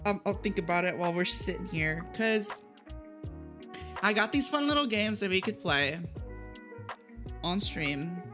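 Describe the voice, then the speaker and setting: A woman chatting casually as she hosts a gaming livestream, giggly and easily sidetracked. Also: lowish voice. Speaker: streamer hosting a gaming livestream.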